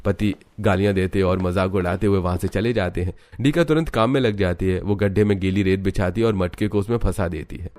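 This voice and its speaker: A man's voice: sits low, fairly quick, hardly any pauses, darkish tone. A man reading scripted narration for a cartoon story in the present tense.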